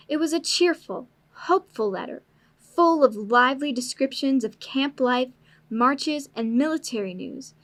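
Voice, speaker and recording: Higher-voiced woman narrating a storybook.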